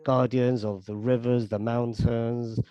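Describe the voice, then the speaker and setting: A man's voice: mid-range voice, little pitch movement, measured pace, warm tone, lo-fi sound. A man interpreting live into English on an online meeting, hesitant and full of restarts and stumbles. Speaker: simultaneous interpreter.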